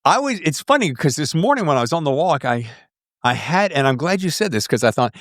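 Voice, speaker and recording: Animated male podcast host, dry close sound. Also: on the high side, brisk, no echo. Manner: informal, jokey, salty-tongued, prone to tangents. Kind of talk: casual interview chat.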